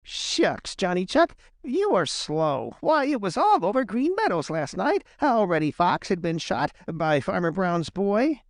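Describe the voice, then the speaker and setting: Grumpy storybook rabbit, male performer: raised pitch, slow cross drawl, dismissive and jeering. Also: wildly swooping pitch, no room sound, clean studio sound, exaggerated voice.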